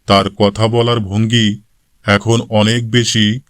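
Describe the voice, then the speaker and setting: A man narrating a scripted cartoon story in a measured manner. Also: lowish voice, level tone, a bit bright, no room sound, studio quality.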